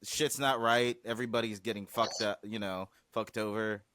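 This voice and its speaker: A man's voice: lowish voice, fairly even intonation, moderate pace, pushed and bright, dry recording. A man whose first language is Swahili, a music producer talking animatedly in a casual interview, often trailing off and restarting.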